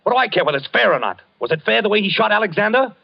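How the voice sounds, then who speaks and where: A haunted, stammering obsessed husband. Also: male voice, sits high, expressive, rapid-fire, vocal fry, no room sound, exaggerated voice. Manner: stammering, agitated, fixated. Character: obsessed haunted husband, tense and unstable man. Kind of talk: 1940s radio acting. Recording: muffled old radio broadcast.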